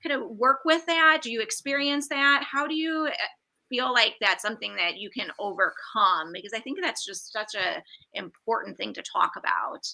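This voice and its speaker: A woman's voice: a little high, moderate pace, creaky, bright, forceful tone, poor audio. A woman hosting a podcast who asks her guests questions and thinks aloud as she goes, with many fillers. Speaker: podcast host. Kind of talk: interview questions in casual chat.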